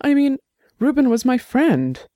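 A narrator voicing a nervous, defensive man whose voice rises high and shaky, near tears. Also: very animated, unhurried, mellow, dry recording, clean studio sound. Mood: defensive, shrill.

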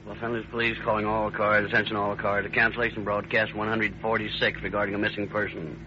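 A man's voice: fairly low pitch, little pitch movement, quick pace. An American man playing a police radio dispatcher, giving a terse, formal 1930s bulletin. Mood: official. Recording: very noisy, dull old radio recording.

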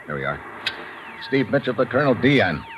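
A man's voice: medium pitch, big pitch swings, fairly quick, noisy background, lightly acted. Hard-boiled radio secret agent played by a man. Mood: brisk, steady. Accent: American. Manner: clipped, hard-boiled, matter-of-fact. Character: tough wisecracking American male secret agent, cool under fire. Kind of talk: 1950s radio adventure acting with first-person narration. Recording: dull old radio recording, music behind.

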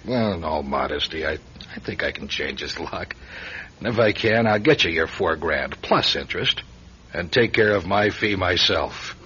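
An actor playing a wry, deadpan private eye from Chicago in an old-time radio detective drama. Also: male voice, low-pitched, moderate pace, slightly rough, heavily acted. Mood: cool, sardonic. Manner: dry, deadpan wisecracks. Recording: dull radio recording with music behind.

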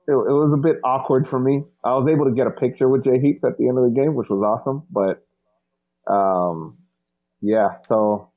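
A man from New England hosting a podcast, telling a story in a relaxed, friendly way with plenty of fillers. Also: medium pitch. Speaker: podcast host. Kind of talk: casual chat. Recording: tinny phone-like line.

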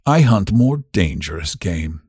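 A man's voice: low-pitched, sing-song, darkish tone, slightly rough, no echo, studio quality, exaggerated voice. A man voicing a suave, menacing hunter general. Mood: slow, cool. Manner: courteous, slow and deliberate.

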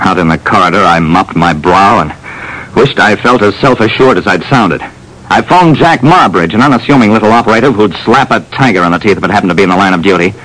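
A man playing a brisk, self-assured private detective who narrates an old radio drama. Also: lowish voice, animated, fairly bright tone, poor audio. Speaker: narrator and lead. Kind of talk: 1940s radio acting.

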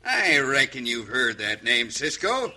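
An actor playing a cocky, murderous outlaw gang boss with a Western drawl and taunting, folksy menace, in an old-time radio western. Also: male voice, mid-range voice, bright, forceful tone, full character voice. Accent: American Western drawl. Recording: faint noise, old radio recording.

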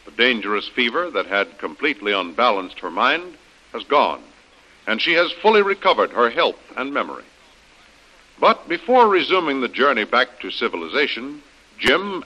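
Bright, forceful old-time radio announcer man. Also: mid-range voice, rough recording. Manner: emphatic, formal announcing. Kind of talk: scripted 1930s radio serial narration. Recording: old radio broadcast recording.

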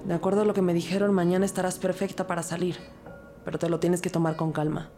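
A woman's voice: fairly low pitch, little pitch movement, quick pace, warm tone, faint music behind, close to an everyday voice. An actress playing a loyal, resolute female police detective in an audio drama, earnest and protective. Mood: soothing, protective. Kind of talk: audio fiction acting and scripted narration.

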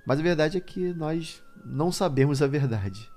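A man's voice: fairly high pitch, lively intonation, normal speed, a little mellow. A man with a Brazilian accent, hosting a podcast with informal commentary addressed straight to his listeners. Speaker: podcast host.

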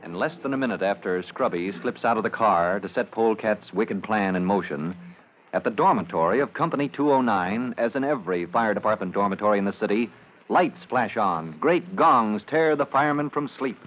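A man's voice: on the low side, animated, brisk, slight background noise. Dramatic male radio serial announcer. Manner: dramatic, formal delivery. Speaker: narrator and announcer. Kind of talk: 1940s-style radio serial narration, scripted. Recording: muffled old radio recording.

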